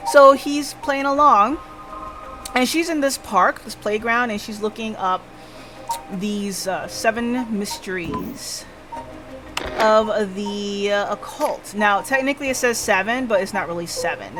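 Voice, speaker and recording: Female game streamer, higher register, slow-paced and informal, talking through the story aloud over background music. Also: fairly bright tone, rough recording. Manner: informal, thinks aloud, checks in with listeners. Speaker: livestreamer. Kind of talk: casual game stream recap. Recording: music playing behind the voice.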